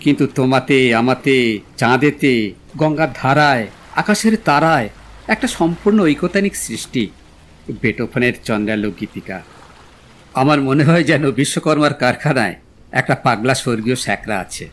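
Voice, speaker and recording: An actor playing a witty, dreamy young gentleman in a literary audio drama, speaking in a poetic, eloquent, theatrical way. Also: mid-range voice, moderate pace, close to an everyday voice. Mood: dreamy, romantic.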